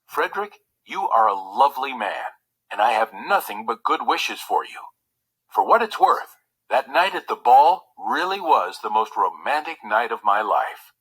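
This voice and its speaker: A kind but resolute young woman speaking her letter, as read aloud by a man. The storybook delivery is gentle and frank, with expressive pitch movement and a husky tone. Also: normal speed, rough recording.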